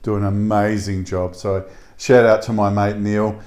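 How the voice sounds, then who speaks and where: A man's voice: fairly low pitch, flat delivery, measured pace, a little mellow. A man who is a pastor, co-hosting a casual podcast discussion in an earnest, emphatic way with frequent fillers.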